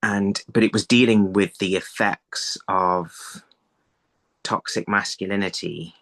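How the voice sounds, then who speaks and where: A man in his thirties giving conversational interview answers over a video call, haltingly, thinking aloud and repeating words. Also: deep voice, fairly even intonation, fairly bright tone. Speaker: writer being interviewed. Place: indoor room, video call. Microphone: phone on a video call.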